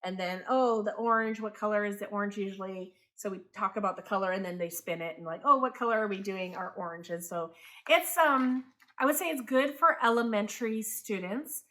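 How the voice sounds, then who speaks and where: A homeschooling mom in her thirties, raised in Taiwan, talking casually to her viewers. She rambles in a friendly way and often stops to correct herself. Her voice sits at a middle pitch for a woman. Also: brisk, bright, forceful tone. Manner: chatty, corrects herself mid-sentence. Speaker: homeschooling parent and channel host. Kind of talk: casual talk to camera.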